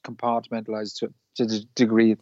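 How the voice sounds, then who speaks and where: A man from Wales, a musician guest, answering in a halting way with many restarts. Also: fairly low pitch, completely flat delivery, dark tone, dry recording. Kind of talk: casual interview chat. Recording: dull dry lo-fi line.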